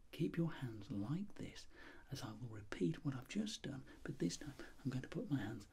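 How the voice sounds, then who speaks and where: A middle-aged man whispering as a doctor in an ASMR roleplay, giving gentle, polite step-by-step instructions. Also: medium pitch, very animated, moderate pace, gravelly, husky. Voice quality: soft whisper. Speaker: ASMR performer playing a doctor.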